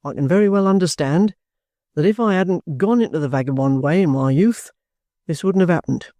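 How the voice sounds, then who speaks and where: Reader putting on a mellow, bluff old-soldier man. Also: medium pitch, brisk, no echo, lightly acted. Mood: matter-of-fact, resigned. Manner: respectful, offhand, self-possessed. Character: bluff, stubborn, good-humoured male ex-soldier, courteous and plain-spoken.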